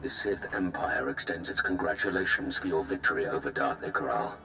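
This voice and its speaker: An actor playing a formal, threatening official of an evil galactic empire in a video game cutscene. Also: male voice, sits low, lively intonation, fairly quick, pushed and bright, echoey room, soft background music, exaggerated voice. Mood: cold menace. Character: menacing male Sith Empire official delivering a formal warning.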